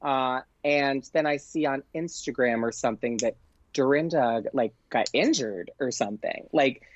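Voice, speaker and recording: A man telling a casual anecdote as a podcast guest, gushing and excitable, saying "like" often and acting out both sides of conversations. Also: mid-range voice, moderate pace, highs cut off, a little hiss or hum. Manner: gushing, excitable, frequent "like", acts out dialogue. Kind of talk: casual anecdote, chatty storytelling.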